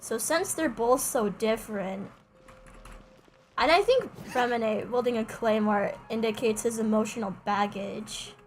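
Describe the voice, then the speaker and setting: A woman's voice: on the high side, a little slow. A woman chatting casually and musingly, with some hesitation, as she commentates on a game livestream. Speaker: streamer. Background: faint game music.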